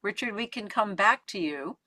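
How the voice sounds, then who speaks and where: A woman's voice: medium pitch, animated, moderate pace, pushed and bright, dry recording. A woman hosting an online discussion, casually moderating comments from the audience on a video call.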